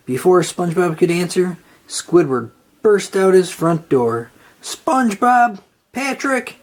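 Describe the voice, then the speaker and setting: A storybook reader narrating a children's tale in an expressive, scripted style. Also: medium pitch, unhurried.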